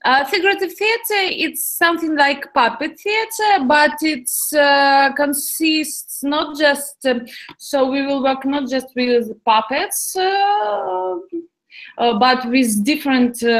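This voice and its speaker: Young woman from ukraine, halting english, lots of ums, kinda high voice. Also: fairly bright tone, rough recording. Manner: hesitant, many fillers and restarts. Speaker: speaking club participant. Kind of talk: casual chat in learner English.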